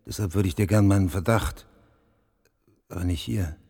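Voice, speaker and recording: A man's voice: low-pitched, moderate pace, mellow, slightly rough, no echo, clean studio sound. An actor playing an older French journalist who mutters to himself as he snoops through an office. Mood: earnest. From France. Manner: muttering to himself while searching. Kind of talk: TV drama acting.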